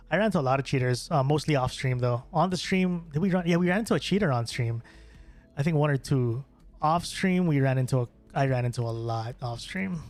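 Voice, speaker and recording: A young man from the Philippines, streaming a game and chatting casually with viewers, rambling with many fillers and restarts. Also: on the high side. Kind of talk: casual gameplay stream chat. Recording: faint music behind voice.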